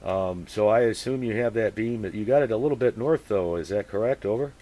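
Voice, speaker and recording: A man chatting casually as a radio operator, using procedure words and speaking haltingly as he thinks aloud. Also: fairly low pitch, heavy background noise. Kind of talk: casual two-way radio chat with call-and-response procedure words.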